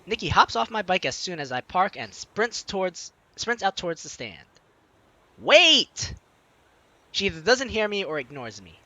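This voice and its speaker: A man's voice: high voice, very animated, normal speed, sharp tone, dry recording. A young man playfully reading a visual novel aloud and commenting on it as a let's play host, with game music behind him. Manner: playful, theatrical, jokey reactions.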